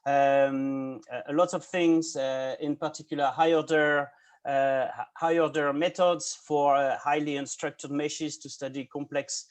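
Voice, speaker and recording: A man's voice: fairly high pitch, fairly even intonation, unhurried. A man in his forties hosting an interview podcast over a video call, introducing a guest with many hesitant fillers. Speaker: podcast host.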